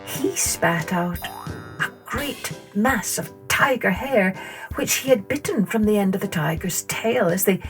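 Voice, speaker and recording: Woman telling kids stories, really slow and expressive, lowish voice, some music. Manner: expressive, measured storytelling. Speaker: storyteller, podcast host. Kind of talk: scripted children's story narration.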